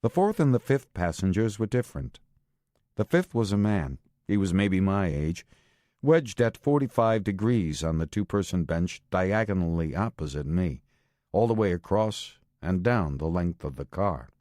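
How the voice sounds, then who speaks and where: A man with an American accent reads a first-person thriller audiobook in a deliberate, terse manner with clipped phrasing. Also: low-pitched, lively intonation, darkish tone, no room sound, clean studio sound. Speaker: audiobook narrator. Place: studio.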